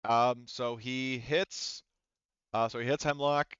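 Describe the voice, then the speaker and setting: A man's voice: medium pitch, steady tone, slow, a bit bright, smooth, lo-fi sound. A man casually running a tabletop sci-fi game as game master, talking informally and swearing freely. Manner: informal, profane.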